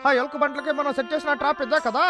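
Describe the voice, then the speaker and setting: A man's voice: very high voice, steady tone, racing, music in the background. A man voicing a cocky, bumbling logger villain who talks theatrically to himself in a dubbed children's cartoon. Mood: gloating.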